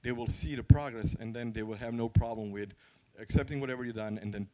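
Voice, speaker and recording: A man's voice: medium pitch, fairly even intonation, quick pace, dark tone, poor audio. A man from Croatia with a Croatian accent, speaking candidly and conversationally as he gives an informal, anecdotal conference talk.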